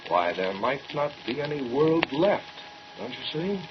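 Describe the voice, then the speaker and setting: A man's voice: a little high, big pitch swings, measured pace, background noise. An American man playing a grave, persuasive brother in an old-time radio drama, insistent, pointed and probing. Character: persuasive, grave brother confronting a dangerous scientist. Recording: muffled vintage radio recording with music.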